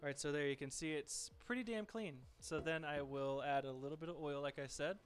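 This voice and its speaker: A soft-spoken man chatting casually with viewers as he cooks and cleans up in his home kitchen, reading chat messages aloud. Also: fairly high pitch, brisk. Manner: relaxed, chatty, talks to viewers while working. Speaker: streaming home cook.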